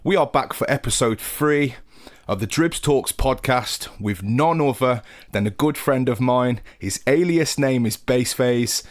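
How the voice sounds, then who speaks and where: A man's voice: mid-range voice, measured pace. Upbeat podcast host, man. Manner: upbeat, calls the guest mate. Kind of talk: casual podcast hosting.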